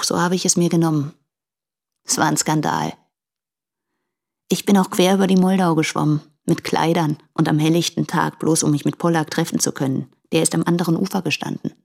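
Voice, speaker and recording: An actress playing a lonely, despairing young woman who confesses in letters in a radio drama, with a husky, halting, subdued delivery. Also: low voice, darkish tone. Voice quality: breathy, husky with creaky edges.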